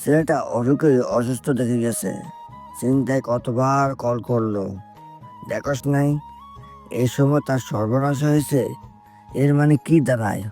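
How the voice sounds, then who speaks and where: Irritable father role, male actor, scolding and stern, medium register, audio drama with soft music bed. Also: mid-range voice, a little slow, pauses often, lightly acted. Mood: angry, scolding. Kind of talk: audio story character dialogue.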